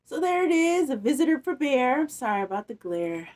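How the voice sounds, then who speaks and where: Female storytime reader: high-pitched, highly animated, unhurried, playful chat between lines of the book. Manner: expressive, playful, chatty. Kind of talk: read-aloud with casual asides to children.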